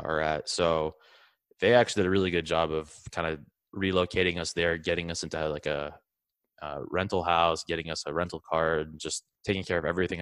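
Young man, very low-pitched, dry close sound, relaxed anecdotal delivery. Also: dry recording. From Greece, raised in Egypt. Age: young adult. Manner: relaxed, storytelling, frequent fillers and restarts. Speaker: interview guest. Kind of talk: casual conversational interview.

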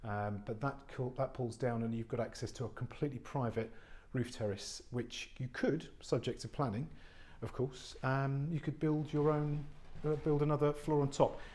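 A man, an estate agent giving an unscripted walk-through tour of a warehouse flat, chatty and full of fillers and restarts. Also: medium pitch, normal speed, few pauses. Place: large warehouse flat, indoors. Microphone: handheld phone camera, speaker off screen.

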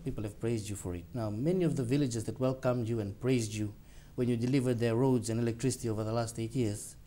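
A man's voice: medium pitch, fairly even intonation, fast talker, dark tone. A man in his thirties hosting a studio TV interview, pressing his guest with challenging questions read from notes. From Fiji. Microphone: lapel.

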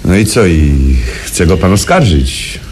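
An actor playing a worldly, legal-minded gentleman in a radio drama, asking questions in a knowing, sceptical way. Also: low-pitched, big pitch swings, unhurried. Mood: sceptical probing. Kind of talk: Polish radio drama acting. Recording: noisy old radio drama recording.

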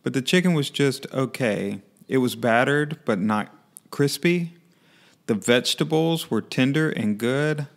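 Gravelly-voiced man reviewing a dish. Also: medium pitch, unhurried. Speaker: vlog co-host. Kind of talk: scripted voice-over review.

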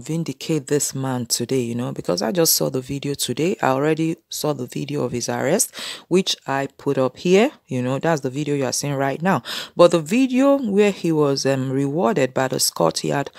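A woman's voice: low voice, animated, normal speed, vocal fry, a little mellow, no room sound, clean studio sound. A woman in her thirties preaching casually to camera from a small room, earnest and exhorting, with many "you know" fillers.

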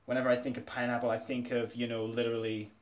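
A man's voice: mid-range voice, little pitch movement, fast, highs cut off. Young man, mid-range voice, easygoing fragrance vlogger, talks straight to a webcam from his bedroom. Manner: chatty, candid, laughs often. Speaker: fragrance reviewer. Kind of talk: casual review vlog. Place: small dim bedroom, indoors.

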